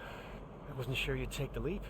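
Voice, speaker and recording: An actor playing a loyal, wry adventuring companion in a video game, speaking earnestly with dry humor. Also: male voice, medium pitch, unhurried, very noisy, lightly acted. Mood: wry, weary. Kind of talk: dramatic video game acting.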